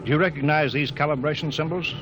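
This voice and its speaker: A man's voice: a little high, fairly even intonation, quick pace, lightly acted. A man playing a stern male spaceship captain who gives blunt, clipped orders in a 1950s radio drama. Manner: clipped orders, turning to urgent shouting. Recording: very noisy old radio broadcast.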